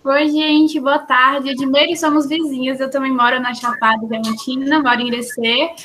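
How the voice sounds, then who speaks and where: A woman's voice: sits high, steady tone, moderate pace, fairly bright tone. A woman in her twenties from Brazil, a psychology student, introducing herself cheerfully on a video call. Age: 20s. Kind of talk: casual self-introduction. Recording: faint room ambience.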